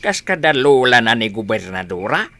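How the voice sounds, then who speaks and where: A man acting a meddling, excitable old village father in an Ilocano radio comedy drama, with drawn-out exclamations and an Ilocano accent. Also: mid-range voice. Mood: scheming, chatty.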